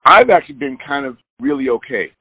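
A man's voice: mid-range voice, sing-song, fairly quick, pushed and bright, slightly rough, dry recording. A man in his sixties, a musician being interviewed, talking in a loose, candid, rambling way. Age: 60s. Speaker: musician guest. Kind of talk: casual interview chat. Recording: dull lo-fi line.

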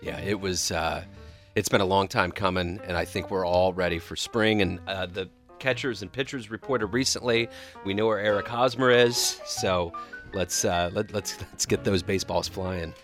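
Radio host, male, low voice, excited and rambling, faint music underneath. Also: moderate pace. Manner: enthusiastic, rambling with restarts. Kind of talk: casual radio chat.

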